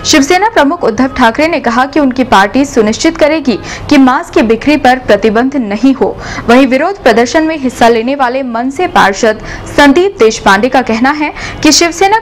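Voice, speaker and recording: A young woman news anchor reading scripted headlines in a formal, even newsreader delivery, recorded in a TV news studio. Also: high-pitched, a little hiss or hum.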